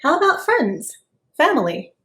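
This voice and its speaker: A tiny, upbeat worm chatters away in a high, eager voice, as a woman reads him aloud. He is friendly and keen, always pleased to make a friend. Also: very animated, unhurried, reverberant, heavily acted. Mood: cheery. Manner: bright, eager. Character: cheerful chatty little worm, speedy and friendly.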